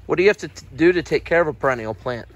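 A man's voice: a little high, animated, racing, highs cut off. A man casually asking questions and reading details aloud, recorded on a handheld camera. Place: greenhouse. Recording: faint background noise, handheld camera video.

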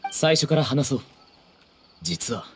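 A man voicing an expressive, earnest, slightly fussy young man in an anime game. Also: mid-range voice, slow pace, a little hiss or hum. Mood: apologetic, urgent.

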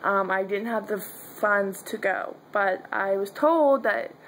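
A woman's voice: medium pitch, slow pace, a bit bright, a little hiss or hum, low-quality recording. A young woman who stutters, with blocks, repeated sounds and fillers, talking casually to her viewers in a video diary from a dim bedroom. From Pennsylvania, US. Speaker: vlogger. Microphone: webcam or phone held close.

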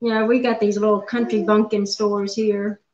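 A woman's voice: mid-range voice, flat delivery, normal speed, a little mellow. A middle-aged woman, chatting casually as host of a home cooking livestream on a webcam. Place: home kitchen, indoors. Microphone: webcam at the table. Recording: echoey, dull webcam audio in a home room.

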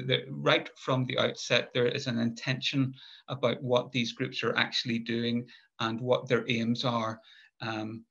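A middle-aged man with a Northern Irish accent giving an academic talk over a video call, measured and thoughtful with frequent hesitations. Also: medium pitch, bright, forceful tone. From Northern Ireland. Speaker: conference speaker, lecturer. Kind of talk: academic presentation. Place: home room, indoors. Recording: muffled video call audio.

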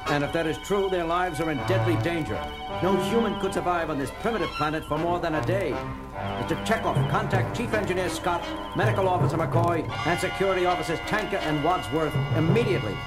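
An actor playing a decisive, authoritative starship captain in a 1970s audio drama. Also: male voice, fairly high pitch, a little fast, natural voice. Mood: urgent. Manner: authoritative, clipped orders. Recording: loud music behind the voice.

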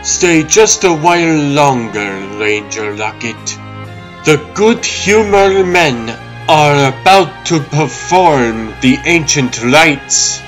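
Man voicing a slow, pompous cult priest. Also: a little high, animated, fairly bright tone. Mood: reverent. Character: pompous, serene male asylum high priest. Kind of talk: comic-book dub acting. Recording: music under the voice.

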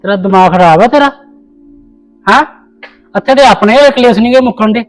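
A man's voice: extremely high pitch, a little fast, soft background music. A man playing an impatient young husband in a family skit, brushing off his wife with annoyed retorts. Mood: annoyed, dismissive. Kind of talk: scripted family comedy skit.

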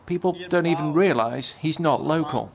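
A man narrating a regional news report in a measured, scripted way. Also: a little high, gravelly.

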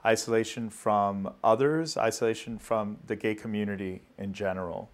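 A man's voice: on the low side, steady tone, moderate pace. A middle-aged man who works with immigrants, steadily explaining his work in an office interview on a lapel mic. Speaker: immigrant services staff member.

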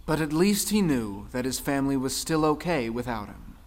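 A man's voice: medium pitch, very animated, moderate pace. A man giving scripted narration for a folktale audio drama. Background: music.

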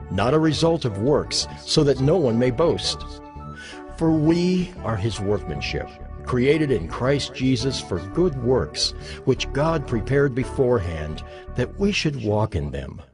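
A man reading scripture as a dramatized narration, solemn and measured, with emphatic phrasing. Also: medium pitch. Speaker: Bible narrator. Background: music under the voice.

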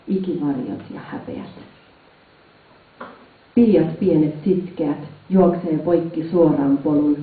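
A man with a fairly high voice recites folk incantation verse. He delivers it in measured, chant-like phrases. The recording is thin and phone-like, heard from a distance in a heavily reverberant space. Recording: very echoey, distant, dull phone-like sound.

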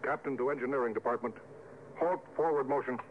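An actor playing an authoritative, suspicious starship captain in a mid-century radio drama. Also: male voice, mid-range voice, fast talker, lightly acted. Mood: suspicious, insistent. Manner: clipped, authoritative orders. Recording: noisy vintage radio, thin narrow band.

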